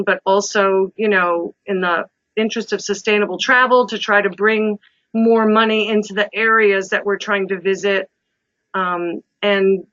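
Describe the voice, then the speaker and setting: A woman's voice: medium pitch, fairly bright tone, no room sound. Chatty woman with a muffled dry sound. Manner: chatty, rambling with restarts. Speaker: travel agent and group organizer. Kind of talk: informal presentation to a group.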